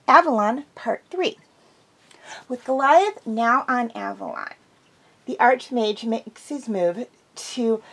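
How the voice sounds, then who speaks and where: A woman host chatting casually and enthusiastically through an episode review, slightly congested from a cold. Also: on the high side.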